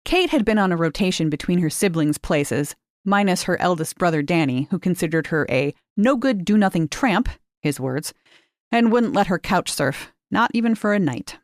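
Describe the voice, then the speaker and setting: A woman reading short fiction aloud in a measured, unhurried way. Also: on the low side, no room sound, clean studio sound. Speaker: narrator.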